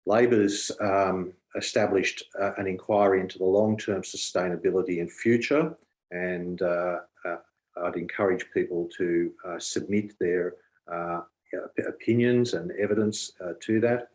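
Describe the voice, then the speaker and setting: A man answering haltingly as a candidate at an online forum. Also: low voice, steady tone, a little mellow. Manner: halting, hesitant delivery. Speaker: election candidate. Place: online video call.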